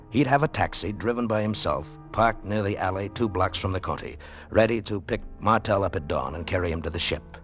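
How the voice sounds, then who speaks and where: An actor in 1940s radio style playing a wry, world-weary adventurer, terse and measured, on a noisy vintage recording. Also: male voice, sits low, fairly bright tone. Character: hard-boiled, wry male adventurer narrating his tale. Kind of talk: 1940s radio acting and first-person narration.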